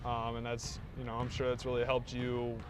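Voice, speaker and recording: A teenage male student interviewer who asks questions in an earnest, somewhat halting way. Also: mid-range voice, monotone. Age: teens. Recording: noisy outdoor surroundings.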